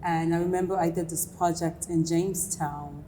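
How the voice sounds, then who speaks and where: A young woman from Ghana, a filmmaker talking casually as an interview guest, animated with lots of fillers. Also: lowish voice, moderate pace, slight background noise. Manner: animated, chatty, many fillers. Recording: long reverb, faint music.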